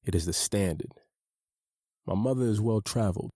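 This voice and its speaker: A man narrating his own memoir audiobook in a measured, earnest, personal way. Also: lowish voice, dark tone, no echo, studio quality. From United States.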